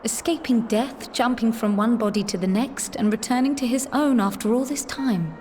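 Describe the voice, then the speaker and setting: An actress playing a composed, thoughtful noblewoman in a fantasy video game, speaking formally and earnestly at a measured gait. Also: on the high side, darkish tone, soft background music, natural voice. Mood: reflective. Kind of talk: video game cutscene acting.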